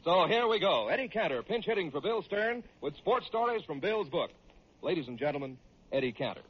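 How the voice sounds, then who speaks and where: An American man announcing and pitching a sponsor in old-time radio style. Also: very animated, fairly quick, a little hiss or hum. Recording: dull old radio broadcast recording.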